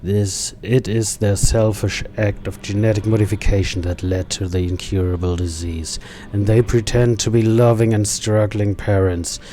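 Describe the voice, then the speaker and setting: A man's voice: lowish voice, little pitch movement, moderate pace, few pauses, warm tone, a little hiss or hum, pitched below the performer's own voice, close to an everyday voice. A man voicing a masked, zealous killer from a game, preaching divine judgement in a solemn, declamatory manner. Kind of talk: dramatic reading of game dialogue.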